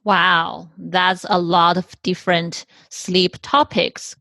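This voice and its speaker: A woman with a cold-roughened, hoarse voice, hosting an interview and politely asking questions. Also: on the low side, little pitch movement, takes it slowly, sharp tone, no echo. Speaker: podcast host. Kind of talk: conversational interview.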